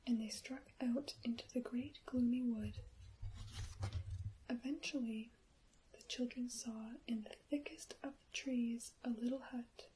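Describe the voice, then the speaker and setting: A woman whispers a fairy tale in ASMR style, slow and unhurried. Her voice is soft, breathy and mellow, and she forms each word gently and carefully. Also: on the high side, steady tone, a little hiss or hum, low-quality recording. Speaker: storyteller and ASMR host.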